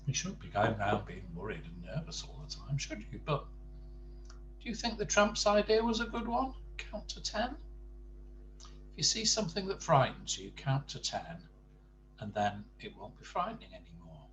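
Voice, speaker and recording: An older man reading a bedtime story to grandchildren, expressive, with chatty asides and questions to the young listener. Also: medium pitch, normal speed, slight background noise, poor audio.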